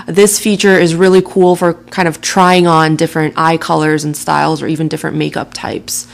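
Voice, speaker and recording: A woman narrating a software tutorial in a casual, chatty way, laughing lightly now and then. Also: lowish voice. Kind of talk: screen-recording tutorial narration.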